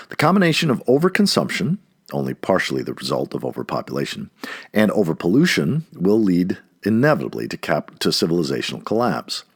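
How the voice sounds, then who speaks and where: A man reading an essay aloud like an audiobook narrator, expressively and with deliberate, emphatic phrasing. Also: on the low side, breathy edge, dry recording, studio quality.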